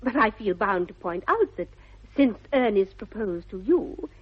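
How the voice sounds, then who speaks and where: An actress playing a sweet, prim, self-possessed young girl with an upper-class English accent and a soft, breathy voice, demurely formal in a 1960s radio comedy of manners. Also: medium pitch, expressive, unhurried. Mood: coolly polite. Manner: demure, polite, carefully formal. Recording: dull vintage 1960s radio recording.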